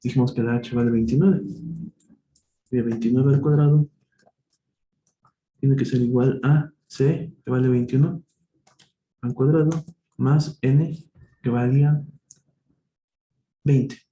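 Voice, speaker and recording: Man, math tutor, self-correcting, slow explanations, very dark voice, roomy echo. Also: medium pitch, fairly even intonation, muffled recording. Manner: thinks aloud, self-correcting, slow and patient. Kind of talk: live online tutoring, working problems step by step. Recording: echoey room, faint noise.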